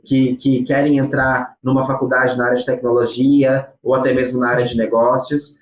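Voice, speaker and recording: A Brazilian man with a Brazilian Portuguese accent, a study-abroad agency representative explaining things in an interview, measured and pausing often on fillers. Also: medium pitch, level tone, reverberant, rough recording. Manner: measured, with frequent hesitation fillers.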